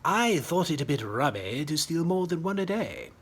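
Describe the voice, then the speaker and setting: A man voicing a jaunty, pompous, excitable WWI pilot in a storybook reading, with old-fashioned officer bluster. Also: on the high side, moderate pace, husky, pitched above the performer's own voice, heavily acted. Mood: insistent.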